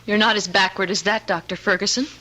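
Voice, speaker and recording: An actress playing a desperate, haunted young pregnant wife in an old radio horror drama, speaking slowly with theatrical intensity. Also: female voice, medium pitch, fairly even intonation, pushed and bright, lightly acted. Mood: tense, pleading.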